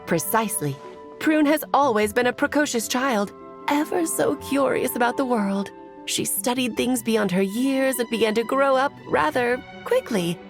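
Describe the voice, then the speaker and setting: A woman's voice: on the high side, normal speed, soft background music, natural voice. An actress playing a playful, theatrical grown witch in a video game, eloquent, airy and teasing. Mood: measured, explanatory. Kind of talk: video game cutscene acting.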